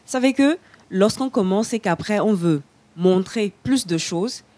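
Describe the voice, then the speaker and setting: A young woman, a slam poet, explaining her show in a measured way in a TV studio interview. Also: mid-range voice, lively intonation, a little mellow, dry recording. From Togo. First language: Lugba.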